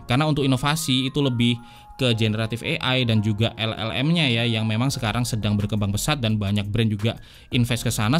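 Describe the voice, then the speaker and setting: A man with a Jakarta Indonesian accent narrating a tech review in a casual, conversational way, explaining things to viewers as friends. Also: mid-range voice. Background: background music.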